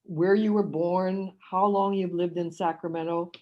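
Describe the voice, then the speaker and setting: A woman's voice: lowish voice, steady tone, measured pace, dull audio. A woman hosting an internet radio interview, asking questions and giving brief friendly affirmations. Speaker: radio host.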